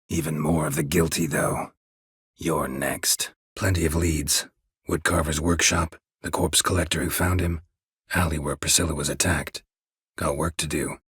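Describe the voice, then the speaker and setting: A gruff, world-weary monster hunter for a video game. His voice is very low and heavily rasped, recorded dry and close. He speaks in terse, deadpan phrases with a stoic temper and dry understatement. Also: moderate pace, dry recording, exaggerated voice. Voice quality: gravelly, husky rasp. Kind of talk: video game character dialogue.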